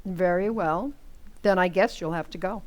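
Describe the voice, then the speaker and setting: A woman's voice: lowish voice, moderate pace, lightly acted. An actress playing a patient but firm middle-aged housewife and mother in an old-time radio comedy, with pointed delivery. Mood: icy, firm.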